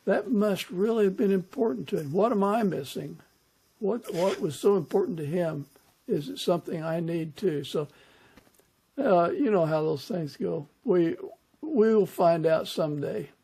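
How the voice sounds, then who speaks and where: A male interviewer with a notably high, gravelly voice. The tone is muted and husky rather than bright. He talks conversationally, reflecting on what he hears and encouraging the other speaker. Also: lively intonation, unhurried. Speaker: podcast host, interviewer.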